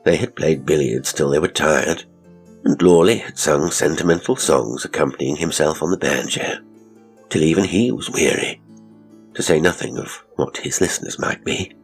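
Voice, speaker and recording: A man's voice: extremely low pitch, normal speed, soft background music. A man reading literary fiction aloud as a scripted audiobook narration.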